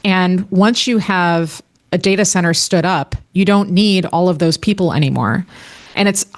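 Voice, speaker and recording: A woman's voice: fairly low pitch, normal speed. Earnest woman from Northwest Indiana. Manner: earnest, thinks aloud with many restarts. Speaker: panelist. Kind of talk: casual panel discussion.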